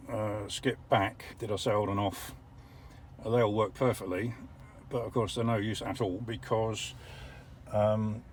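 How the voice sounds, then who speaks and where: An older English man explaining how an electric car works from the driver's seat, measured and a little wry. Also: lowish voice, a little mellow, a little hiss or hum. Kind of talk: casual vlog commentary. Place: parked car.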